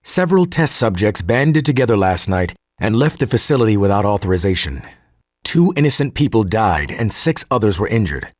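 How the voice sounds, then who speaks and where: Male scientist, low husky voice, formal and articulate, game audio-log monologue, very dry close sound. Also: big pitch swings, fairly quick, dry recording. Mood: grave, troubled. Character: earnest male geneticist turning cold and militant.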